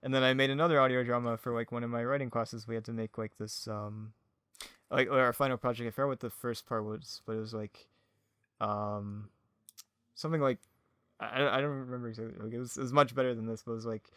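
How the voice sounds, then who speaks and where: Male podcast guest, mid-pitched, rambling and self-effacing in casual chat, dry recording. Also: fairly even intonation, fairly quick, a bit bright, clear, resonant tone, no echo. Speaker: podcast guest, audio drama creator.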